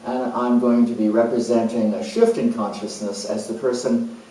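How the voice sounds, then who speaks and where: A man speaking as an astrologer, explaining a topic to an audience in a measured way, recorded with the mic far off in an echoey room. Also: medium pitch, fairly even intonation, warm tone, slight background noise.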